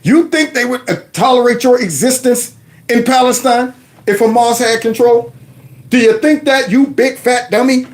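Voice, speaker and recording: A middle-aged man hosting a commentary show, mocking and animated with loud outbursts. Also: extremely high pitch, moderate pace. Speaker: host. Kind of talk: commentary vlog reacting to clips.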